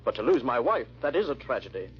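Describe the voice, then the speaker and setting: Actor as suave villainous gentleman, swooping delivery. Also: medium pitch, big pitch swings, fast talker, a little hiss or hum, natural voice. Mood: suave, impatient. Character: suave, haughty villainous husband, a hurried French gentleman. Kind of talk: 1940s radio drama acting. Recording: muffled vintage radio recording.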